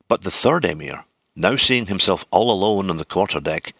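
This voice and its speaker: A man reading literary narration from a script, with deliberate, theatrical phrasing. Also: sits low, expressive, gravelly, a bit bright, slightly rough, no room sound, clean studio sound. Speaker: audiobook reader.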